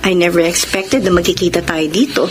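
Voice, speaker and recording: A woman's voice: lowish voice, fairly even intonation, a little fast, close to an everyday voice. An actress playing a proud, emotive young woman model in a Tagalog radio drama. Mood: pleasantly surprised. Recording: loud music behind the voice.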